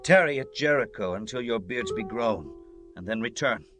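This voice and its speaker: A man's voice: medium pitch, animated, normal speed, music in the background, lightly acted. An actor playing a commanding, kindly king in a scripture audio drama, regal and declamatory. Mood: calm command.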